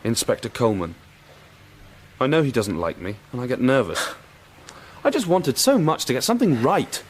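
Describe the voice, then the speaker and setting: A man's voice: mid-range voice, very animated, moderate pace, a little hiss or hum, natural voice. A man playing a nervous, earnest young police constable in a British radio crime drama, hesitant and deferential. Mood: anxious, confiding.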